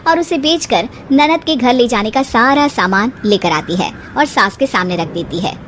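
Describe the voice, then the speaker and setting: High-pitched, expressive woman storyteller. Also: moderate pace, a little hiss or hum. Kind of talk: scripted moral-story narration.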